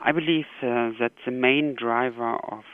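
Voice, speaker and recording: A man giving halting, analytical answers as an expert guest over a tinny telephone line. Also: mid-range voice, steady tone. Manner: hesitant, halting phrasing. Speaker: bank economic analyst, interview guest. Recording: tinny, narrow phone line.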